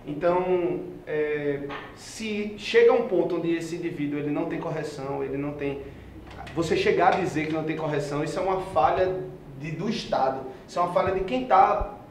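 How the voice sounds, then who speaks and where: A man's voice: a little high, a little fast, echoey room, a little hiss or hum. A man, moderating a panel debate earnestly, often restarting his sentences. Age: 20s. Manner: earnest, restarts and trailing phrases.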